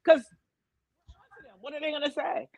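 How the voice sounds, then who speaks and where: A woman in her fifties co-hosting a casual chat, animated and sassy, laughing often. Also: sits high, unhurried, vocal fry, dry recording, low-quality recording. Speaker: co-host, actor.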